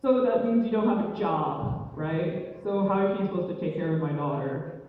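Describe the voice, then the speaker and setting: A woman's voice: fairly low pitch, normal speed, natural voice. Woman doing a blunt disapproving dad, echoey hall. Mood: suspicious. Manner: blunt, dismissive. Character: stern, disapproving, protective father of a daughter. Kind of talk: informal oral storytelling dialogue. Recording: echoey hall from far off, phone-like tinny.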